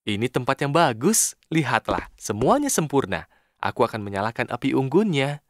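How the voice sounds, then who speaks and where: A man's voice: mid-range voice, sing-song, moderate pace, dry recording, studio quality, lightly acted. A man voicing a sensible, friendly and cautious companion in a children's cartoon dub, speaking expressively. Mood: cheerful.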